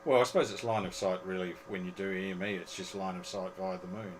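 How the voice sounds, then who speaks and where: A man's voice: deep voice, steady tone, fast talker, slight background noise. A man hosting an informal live technical show-and-tell for hobbyists, often repeating his words and phrases. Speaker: host of an amateur radio club presentation.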